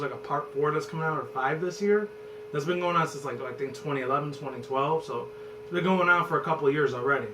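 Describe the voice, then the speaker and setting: A hoarse man in his twenties, somewhat high-pitched for a guy, rambles on casually and swears freely. He sits back from the mic in an echoey room. A steady hum and background noise run under his voice. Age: 20s. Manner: loose and profane, rambles and restarts. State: hoarse from screaming. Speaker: channel host. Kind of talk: rambling casual movie chat. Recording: roomy, distant, noisy with hum.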